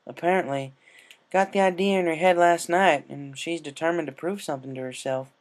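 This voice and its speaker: A man's voice: fairly high pitch, brisk, voice pushed up, close to an everyday voice. A man voicing a stubborn, caring cartoon farm pony, a mare with folksy country phrasing. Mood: casual, resigned.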